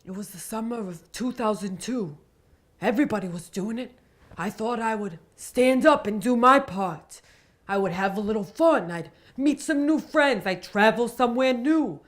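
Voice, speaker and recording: A haunted, melodramatic woman, a comic character acted by a woman confesses her theater trauma. Her voice is high and her delivery is overwrought and theatrical. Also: expressive, normal speed, natural voice. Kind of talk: mock-confessional comedy monologue.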